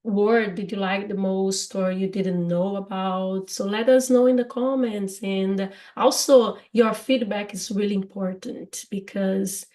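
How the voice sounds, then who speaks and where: A woman with a Brazilian Portuguese accent talks at a slow, unhurried pace in a relaxed English lesson podcast. Her voice sits in a middle range, and she laughs easily as she explains words. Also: medium pitch. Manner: laughs often, slow and careful. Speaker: English teacher and co-host.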